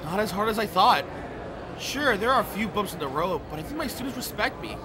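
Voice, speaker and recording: Young male professor, voiced by an actor, audio drama, playful, good-natured, animated delivery, noisy background. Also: high voice, brisk. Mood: playful, bantering. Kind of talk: audio drama acting with first-person narration.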